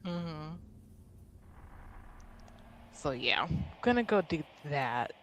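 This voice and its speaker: Slow-talking, lower-voiced woman at game table. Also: fairly bright tone. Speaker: tabletop RPG player. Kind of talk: casual improvised roleplay chat.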